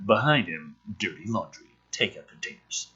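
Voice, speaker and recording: A man's voice: low voice, animated, normal speed, bright, forceful tone, husky, dull audio. A man sarcastically reading through a film script and commenting on it in a profane, mocking, theatrical way. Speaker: host of a script review show.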